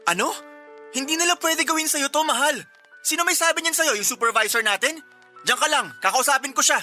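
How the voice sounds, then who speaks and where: A man's voice: extremely high pitch, brisk, bright, forceful tone, faint music behind, exaggerated voice. A man playing a devoted young boyfriend in a Tagalog radio drama, speaking with emotional, imploring insistence. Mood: indignant. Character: devoted, pleading young boyfriend and office co-worker.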